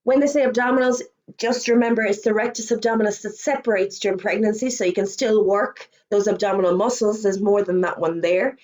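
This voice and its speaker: A woman's voice: medium pitch, a little mellow. Irish woman with a fading voice. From Ireland. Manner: chatty, quick asides and self-corrections. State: losing her voice. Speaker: fitness instructor. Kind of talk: webinar presentation.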